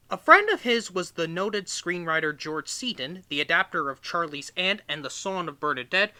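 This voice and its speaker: Male narrator for non-fiction read-aloud: crisp bright tone, swooping melodic delivery, expressive emphasis. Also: high voice. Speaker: reader of a book excerpt. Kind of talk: scripted read-aloud narration of a magazine-style history.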